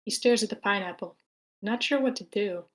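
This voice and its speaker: A woman's voice: mid-range voice, moderate pace, a bit bright. A woman reading out scripted stage directions as the narrator of a screenplay table read.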